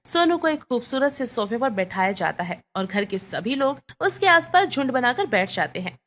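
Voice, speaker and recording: A woman's voice: fairly high pitch, sing-song, moderate pace, a bit bright. A woman reading scripted bedtime story narration.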